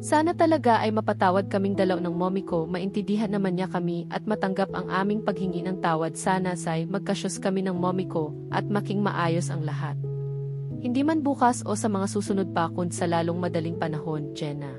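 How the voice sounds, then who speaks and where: A woman's voice: on the low side, brisk, close to an everyday voice. A woman with a Filipino accent voicing an earnest, hurt young woman confiding at length in an audiobook story. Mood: hopeful, earnest. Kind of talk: audiobook dialogue. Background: background music.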